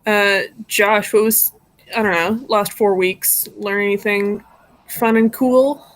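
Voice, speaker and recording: A woman's voice: mid-range voice, steady tone, slow pace, poor audio. A woman hosting an informal live stream discussion in a casual, conversational way.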